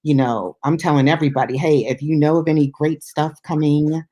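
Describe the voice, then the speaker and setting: A woman's voice: sits low, fairly even intonation, a little fast, mellow. A woman co-hosting a music talk show, chatting casually and unscripted in a rambling, easygoing way with ready laughter. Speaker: radio show co-host.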